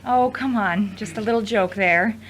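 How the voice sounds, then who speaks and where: A woman's voice: mid-range voice, moderate pace, slight background noise, close to an everyday voice, rough recording. A woman playing a frank, supportive ex-girlfriend in a conversational audio drama. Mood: apologetic, teasing. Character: frank, teasing, supportive ex-girlfriend and studio manager.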